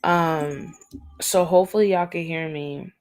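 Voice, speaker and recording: A woman with a raspy, slightly hoarse voice, talking casually and in slang as she gives an intuitive reading on a livestream, often checking in with her listeners. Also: on the low side, fairly even intonation, unhurried, darkish tone. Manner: slangy, conversational, often seeking agreement with "right?" and "okay?". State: hoarse, going easy on throat. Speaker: livestream tarot reader, host.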